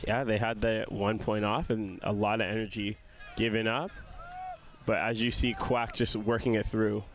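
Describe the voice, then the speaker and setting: A man's voice: on the low side, little pitch movement, normal speed. A young adult man commentating on a live sports game in a casual, analytical style.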